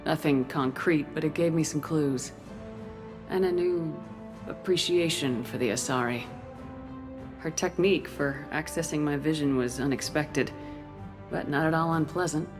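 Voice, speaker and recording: Low-voiced military commander, music behind. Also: female voice. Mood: composed. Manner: firm, authoritative, measured. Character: resolute human woman military commander in science fiction. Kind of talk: video game voice acting.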